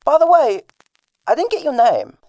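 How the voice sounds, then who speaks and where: Guy acting as earnest polite new detective in a radio play, pitch goes up and down loads. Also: big pitch swings, normal speed, dark tone, dull audio, a little hiss or hum, full character voice.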